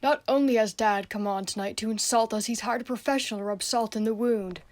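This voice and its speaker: A woman's voice: fairly high pitch, fairly quick, dry recording, close to an everyday voice. A young female student playing an aggrieved, jealous, overlooked son in a radio play. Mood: indignant. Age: teenager or young adult student.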